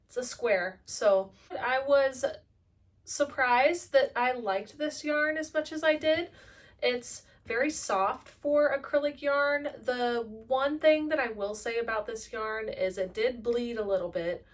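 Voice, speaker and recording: A woman in her late twenties chatting casually about her crafting projects in a relaxed monthly update, with the odd self-deprecating joke. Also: fairly high pitch, takes it slowly, distant mic. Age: late 20s. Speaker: crafting vlogger.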